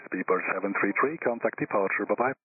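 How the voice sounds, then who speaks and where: A man working as an air traffic controller, reading clearances in brisk routine radio phraseology over a headset. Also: on the low side. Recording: tinny radio transmission with static.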